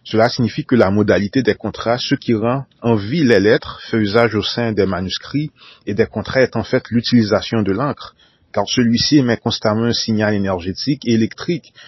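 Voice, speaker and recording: A man with a low, husky voice gives a formal solo monologue, often repeating whole sentences and spelling words out letter by letter. The recording is dull and lo-fi, with a dry sound. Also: no room sound. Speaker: conspiracy talk narrator.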